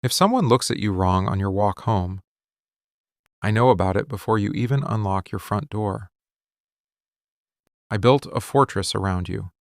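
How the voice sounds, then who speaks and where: A man playing a possessive, obsessive mafia boss in an intimate boyfriend ASMR roleplay, speaking slowly, deliberately and with commanding, menacing intensity. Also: fairly low pitch, gravelly, no echo, studio quality. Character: possessive, obsessive male mafia boss confronting his love. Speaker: ASMR voice actor.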